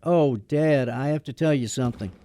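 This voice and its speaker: A man's voice: mid-range voice, normal speed, warm tone, lightly acted. A man playing a lawyer's grown son, lightly sardonic, in an amateur radio drama. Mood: wry. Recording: clearly noisy background.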